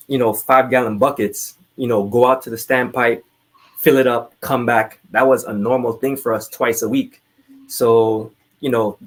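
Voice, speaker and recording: A man from Trinidad, telling personal stories candidly and informally as a podcast guest. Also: medium pitch. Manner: candid, informal, swears casually. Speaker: podcast guest, military veteran. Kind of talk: casual conversational storytelling.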